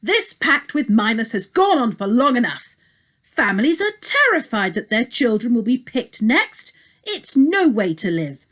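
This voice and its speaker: A woman's voice: a little high, very animated, measured pace, a bit bright, voice pushed up, heavily acted. A woman voicing a bold, determined young warrior prince in a storybook, speaking emphatically. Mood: resolute. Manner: emphatic, declaiming. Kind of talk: storybook character voice.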